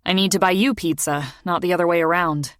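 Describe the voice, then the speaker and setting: A woman's voice: on the low side, steady tone, a little fast, fairly bright tone, dry recording, natural voice. Casting: woman as a chatty, grateful young woman, eager and lifted, dry close sound. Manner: lighter, raised, eager delivery. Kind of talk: audiobook character dialogue.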